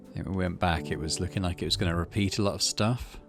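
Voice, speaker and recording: A man's voice: low voice, steady tone, fast, gravelly. A man hosting a gaming let's play, chatting and thinking aloud between stretches of read-out narration. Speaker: gaming video host. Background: game music.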